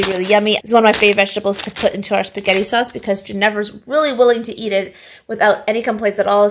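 A woman in her thirties from Canada, chatting casually to a phone camera in her home kitchen as she rambles through a grocery haul. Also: mid-range voice. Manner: chatty, rambling, laughs often. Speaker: vlogger showing a grocery haul. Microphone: phone camera at arm's length.

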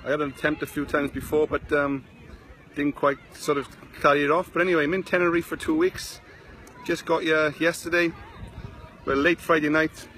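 A man's voice: fairly high pitch, flat delivery, moderate pace, a bit bright, slight background noise. A man in his forties with a South Wales valleys accent, chatting casually to viewers on a live video from a sunny beach, talking into a phone held at arm's length. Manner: rambling, chatty, greets viewers by name. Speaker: online marketing vlogger. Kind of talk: casual Facebook live chat.

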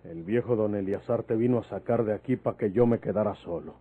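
An actor playing a plain-spoken, fatherly older country man with a rural Mexican accent in a vintage radio drama, telling things in a folksy, unhurried way. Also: on the low side, slightly rough. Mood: firm, explaining. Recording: muffled phone-like old radio recording.

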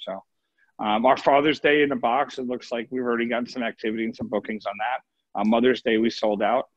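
A male chef talks through a live cooking demo in an informal, halting way, thinking aloud. His voice sits at an average pitch. The sound is dull and close, with no room ring, as on a video call. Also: fairly even intonation, no echo. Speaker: executive chef giving a cooking demonstration. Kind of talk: casual live cooking demo, question and answer. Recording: muffled dry online video-call sound.

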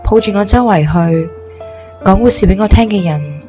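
Casting: gentle young amnesiac orphan woman played by a woman, mellow, wistful, radio drama with faint music. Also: medium pitch, normal speed, natural voice. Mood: sad, frustrated. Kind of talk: audio drama acting.

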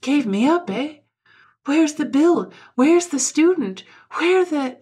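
A woman voicing an agitated, stubborn old gentleman in a story, with emphatic, indignant delivery. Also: low voice, flat delivery, normal speed, husky. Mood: bewildered, indignant.

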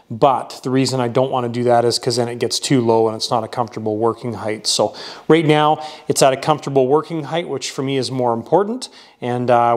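A man casually narrating his model aircraft build in a workshop, thinking aloud with frequent fillers. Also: medium pitch.

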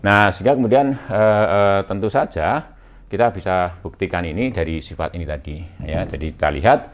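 A man in his sixties with an Indonesian accent, lecturing at a chalkboard in a halting way, with many hesitations and check words. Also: low voice. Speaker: university physics lecturer. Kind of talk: chalkboard lecture, explaining as he writes. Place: indoor room in front of chalkboards.

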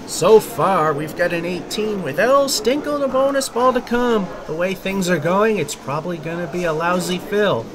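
A man's voice: high-pitched, animated, normal speed, few pauses, background noise. A man delivering a wry, mock-promotional voice-over as the show's host. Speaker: show host. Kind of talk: voice-over segment.

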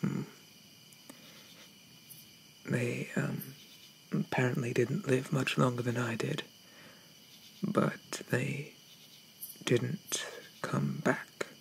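A man playing a shy, gentle, polite Victorian zombie in a soft-spoken ASMR roleplay, stammering and hesitant with old-fashioned courtesy. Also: medium pitch, very slow, creaky, long pauses, slight background noise, exaggerated voice. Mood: wistful, resigned.

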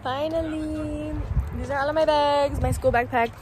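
High-voiced bubbly American teenage girl. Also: steady tone, normal speed. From California, United States. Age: teens. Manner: bubbly, slangy, exclamatory. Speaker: travel vlogger. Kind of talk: casual chatty vlog. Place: car, outdoor stops and hotel room. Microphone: phone at arm's length. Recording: noisy handheld phone, road and street ambience.

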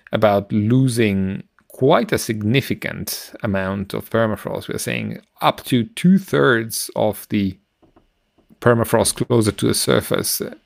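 A middle-aged man explaining science informally as a podcast co-host, halting as he searches for words. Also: fairly low pitch, vocal fry, dry recording, studio quality.

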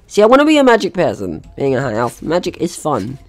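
A man's voice: fairly high pitch, huge pitch swings, quick pace, faint music behind. A man giving casual gameplay commentary, chatting through his own actions in short remarks. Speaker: gaming video host.

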